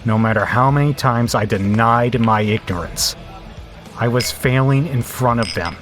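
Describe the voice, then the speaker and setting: Guy doing a cynical biker telling his story, self-mocking and wry, soft music under it, audio drama. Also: medium pitch, normal speed, natural voice. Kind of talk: first-person audio drama narration.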